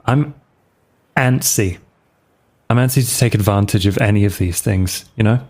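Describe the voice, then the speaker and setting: A man's voice: lowish voice, normal speed, a little mellow. A man playing his character in a tabletop game, talking casually and hesitantly. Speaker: player. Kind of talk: in-character roleplay dialogue.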